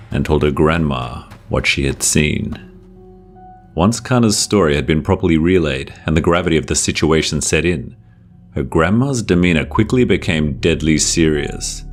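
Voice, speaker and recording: A man with a very deep voice narrates a scripted horror folktale. His delivery is measured and dramatic, stretching out phrases for suspense, with soft music underneath.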